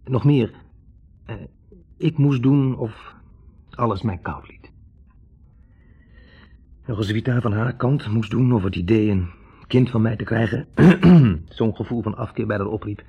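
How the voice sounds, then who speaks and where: An actor playing a wavering, introspective young medical student, hesitantly searching for words in a 1960s radio drama. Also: male voice, fairly low pitch, unhurried, long pauses, mellow, slightly rough, full character voice. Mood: wry, recounting. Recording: dull, band-limited 1960s radio recording.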